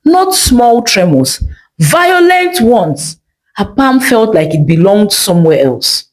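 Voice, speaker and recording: Casting: female storyteller, highly expressive pitch, dramatic and emphatic delivery of scripted folktales. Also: slow pace, poor audio.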